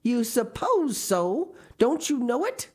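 A woman voicing a stern, sharp-tongued older woman from a storybook, scolding in a brisk, curt, emphatic way. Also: deep voice, expressive. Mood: indignant, wrathful. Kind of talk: storybook character reading.

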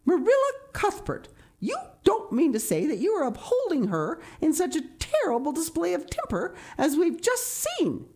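A woman's voice: medium pitch, lively intonation, normal speed, a little mellow, voice pushed up, lightly acted. A woman voicing a blunt, indignant, gossipy older village matron in an emphatic, scolding way for a storybook reading. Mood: horrified, indignant.

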